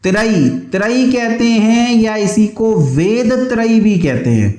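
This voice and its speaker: A man's voice: high-pitched, animated, takes it slowly, a little mellow, echoey room. An Indian man giving an exam-prep lecture as a teacher, explaining didactically and repeating key points so they stick.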